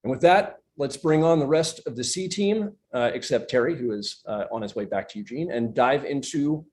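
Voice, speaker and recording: A man's voice: medium pitch, expressive, moderate pace, a little mellow. A man speaking as a company executive on an earnings call, reading a prepared presentation with upbeat, promotional emphasis. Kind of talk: scripted corporate presentation.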